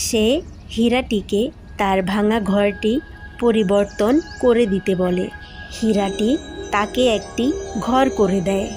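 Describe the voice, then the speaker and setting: A woman's voice: medium pitch, darkish tone. A woman reading scripted fairy-tale narration as a cartoon storyteller.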